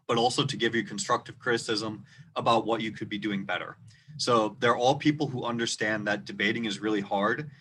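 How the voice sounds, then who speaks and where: A man with a rural Minnesota accent, a debate coach teaching students in an instructional video, speaking loudly and deliberately so each word stands apart. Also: on the low side, a little hiss or hum.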